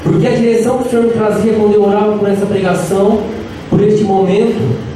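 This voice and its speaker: A Brazilian lay preacher in his thirties delivers a lively sermon, joking with the crowd and calling out for their response. His voice sits high and comes from a distance. A big echo rings through the hall, with music playing behind him. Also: fast. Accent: Brazilian Portuguese. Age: 30s. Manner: lively, playful, calls out to the crowd. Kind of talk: live charismatic sermon. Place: large echoey prayer-group hall, live event.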